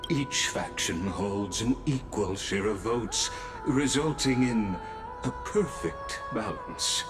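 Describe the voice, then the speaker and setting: Very slow, solemn presiding official. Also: male voice, on the low side, expressive, over music. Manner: stately, deliberate. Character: solemn, measured male official presiding over a vote. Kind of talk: dramatic game voice acting, formal pronouncements.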